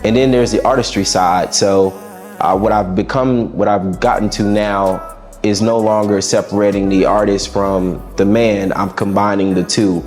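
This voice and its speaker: A young adult man with a raspy voice, a musician guest answering interview questions in a laid-back, reflective way with casual slang. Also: on the low side, fairly even intonation, normal speed, darkish tone, soft background music.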